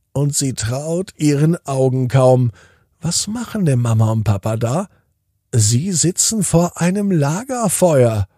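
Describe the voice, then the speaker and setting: A man narrating a scripted bedtime story for children, in short simple phrases with deliberate pauses. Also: on the high side, mellow, slightly rough, no echo, clean studio sound.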